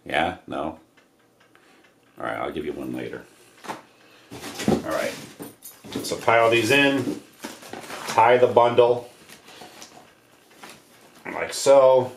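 American male DIY presenter, low-pitched, casual and rambling, recorded in an echoey living room. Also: takes it slowly, vocal fry, pauses often. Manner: offhand, slangy, rambling. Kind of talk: casual hands-on how-to demonstration. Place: indoors, living room by wood stove. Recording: roomy echo, noise of handled objects.

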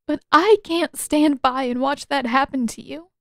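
A woman's voice: sits high, measured pace, fairly bright tone, dry recording, studio quality, voice pushed up. A woman voicing a tearful, determined teenage girl in a fan fiction reading, pleading earnestly and urgently. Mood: desperate, tearful.

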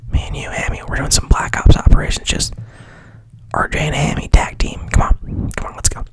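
A man's voice: a little fast, lightly acted. A man whispering as a scheming raccoon. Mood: sly. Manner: whispered, profane, sly. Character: scheming smooth-talking male raccoon.

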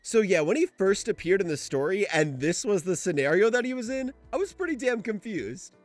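A man hosts a video essay in an informal, conversational way. His voice sits a little high and moves up and down a lot as he reads casual commentary, with music underneath. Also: very animated, brisk, no echo. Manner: informal, conversational, mildly sweary. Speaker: video essayist, series host.

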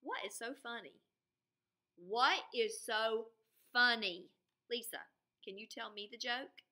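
A woman's voice: a little high, takes it slowly, sharp tone. A woman reading a storybook, playing a stern, exasperated female schoolteacher with a commanding manner.

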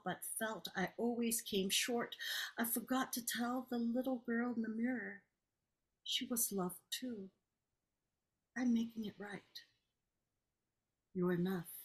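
A woman's voice: medium pitch, breathy edge. Woman performing dramatic spoken-word poetry. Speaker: poet, teacher. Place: bedroom indoors. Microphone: video call, desk distance.

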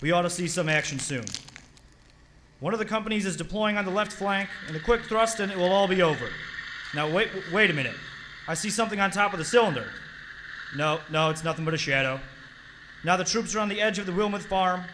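A man's voice: high-pitched, brisk, background noise, natural voice. A man playing a confident army captain who grows alarmed while reporting from a battlefield in a 1930s-style radio drama. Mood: tense, alarmed. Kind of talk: 1930s radio drama reenactment, live performance.

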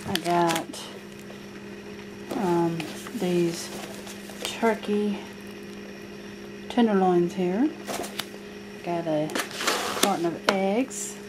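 Slow-talking woman vlogger. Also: medium pitch. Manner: plain, item-by-item listing with many ums. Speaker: vlogger showing a grocery haul. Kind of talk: casual haul video narration. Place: home kitchen table, indoors. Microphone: handheld camera filming a tabletop. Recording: home camera audio with clear noise.

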